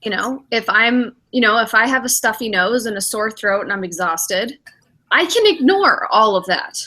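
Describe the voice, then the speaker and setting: A woman's voice: a little high, lively intonation, moderate pace, pushed and bright. A middle-aged woman, a spiritual director hosting a casual podcast chat, reflective and acting out her own inner thoughts as she talks.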